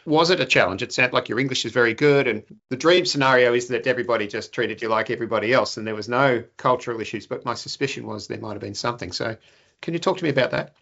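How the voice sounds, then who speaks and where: A male interviewer asks thoughtful, probing questions in a bright, forceful voice. His pitch is ordinary for a man. The sound is a little dull and lo-fi. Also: quick pace, creaky. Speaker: host.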